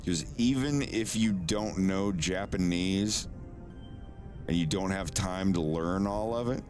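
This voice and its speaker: A man streaming live in casual chat, relaxed, often stammering and repeating words and swearing now and then. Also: low voice, takes it slowly, music in the background. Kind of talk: casual live-stream chat while doing language lessons.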